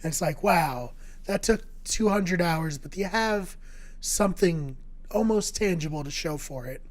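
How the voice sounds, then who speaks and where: A man co-hosting a casual video game podcast, his voice going hoarse, talking in an upbeat, jokey way with rambling anecdotes. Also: high-pitched, lively intonation, a little slow. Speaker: podcast co-host. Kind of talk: casual chat.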